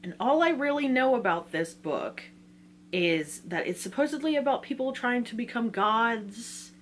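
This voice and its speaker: A woman's voice: on the high side, lively intonation, normal speed, a little hiss or hum. A woman talking casually in an informal, self-deprecating way, like a book vlogger running through a reading list.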